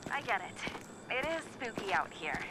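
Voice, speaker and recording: A woman's voice: medium pitch, sing-song, moderate pace, very noisy, close to an everyday voice. A young woman acting an easygoing, playful friend in a video game, with a casual, wry and supportive manner.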